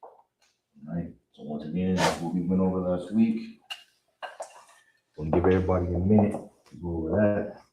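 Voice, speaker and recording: A man teaching a live-streamed online language class in a relaxed way, often checking in with "you understand?" and "all right?". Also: low voice, normal speed, pauses often, dull audio. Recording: roomy, distant, faint hiss.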